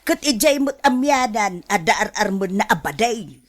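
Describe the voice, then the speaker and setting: A woman's voice: medium pitch, expressive, normal speed, close to an everyday voice. A woman playing a nosy, admonishing older sister and mother in a radio drama, emphatic and gossipy. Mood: nosy, teasing.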